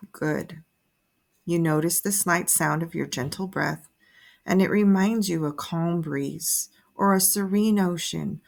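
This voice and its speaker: Low-pitched woman guiding a sleep meditation. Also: creaky. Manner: soothing, measured, instructive delivery. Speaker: meditation guide. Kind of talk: guided sleep meditation narration. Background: soft music.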